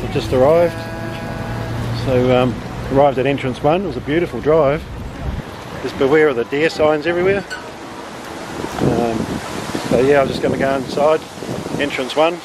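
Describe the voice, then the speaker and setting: A man's voice: mid-range voice, normal speed, pauses often. A man in his fifties chatting casually and unhurriedly to a handheld camera as a travel vlogger. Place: outdoors on city streets and roadside. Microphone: handheld camera at arm's length. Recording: noisy outdoor audio with traffic and wind.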